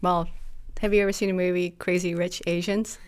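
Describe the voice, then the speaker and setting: A woman's voice: lowish voice, flat delivery, fairly quick. Goofy woman podcast guest from Singapore. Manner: goofy and self-mocking, laughs easily. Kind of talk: casual interview talk.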